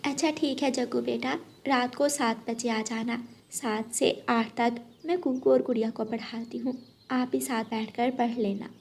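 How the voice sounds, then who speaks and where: A woman voicing a gentle, sensible mother bird in a children's moral cartoon, speaking instructively. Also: fairly high pitch, fairly even intonation, a little slow.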